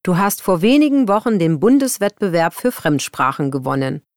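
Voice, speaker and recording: A woman with a lower voice reading prepared texts, her pitch moving a lot as she speaks. The sound is dry and close-miked. She delivers each line clearly. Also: moderate pace, no echo. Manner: lively, clear scripted delivery. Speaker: exam recording reader. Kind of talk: scripted reading, playing an interviewer.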